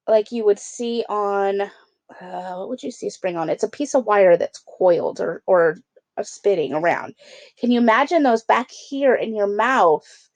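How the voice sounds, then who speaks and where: A woman's voice: medium pitch, darkish tone, no echo. A woman teacher reading a picture book aloud, adding conversational explanations and check-in questions for children.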